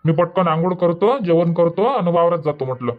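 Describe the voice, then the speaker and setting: A man's voice: sits high, lively intonation, brisk. Man voicing a grumbling old village husband. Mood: lecturing. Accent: Varhadi Marathi. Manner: grumbling, lectures and complains. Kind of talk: dubbed cartoon comedy. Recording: dull lo-fi audio.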